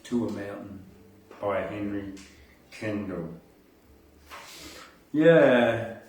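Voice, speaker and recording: A man hosting a poetry reading, reciting verse and making offhand remarks in a halting, deliberate manner. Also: fairly low pitch, a little mellow, slight background noise. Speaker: poetry podcast host and reader. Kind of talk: poetry recitation with casual asides between poems. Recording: echoey room, distant from the mic.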